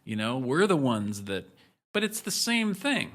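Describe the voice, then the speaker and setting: A man speaking bluntly as a podcast guest, with a bright, forceful edge to his voice. He talks in bursts, swearing casually and chopping sentences off mid-thought before restarting them. His pitch sits in the ordinary middle range. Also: sing-song, unhurried. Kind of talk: candid podcast conversation.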